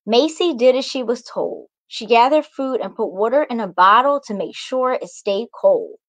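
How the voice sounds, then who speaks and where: A woman's voice: a little high, expressive, moderate pace. A young adult woman, a children's author, reading a storybook aloud and explaining it simply to young listeners. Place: small indoor room.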